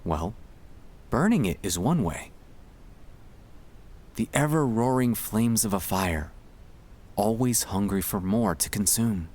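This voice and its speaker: Slow, low-pitched, gentle man guiding relaxation. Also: lively intonation. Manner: gentle, soothing, reassuring. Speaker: ASMR sleep-aid guide. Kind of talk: scripted ASMR guided relaxation.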